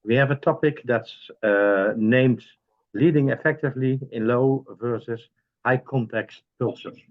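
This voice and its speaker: A man hosting a webinar over a video call, talking hesitantly with many pauses and restarts. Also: mid-range voice. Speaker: webinar host. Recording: dull, lo-fi video call audio.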